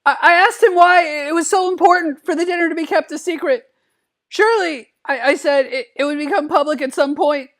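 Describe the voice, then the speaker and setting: A man's voice: very high-pitched, fairly quick, fairly bright tone. A man voicing a nervous, timid adolescent cartoon boy, stuttering through narration in a comedic dramatic reading. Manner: anxious stuttering, repeated word starts.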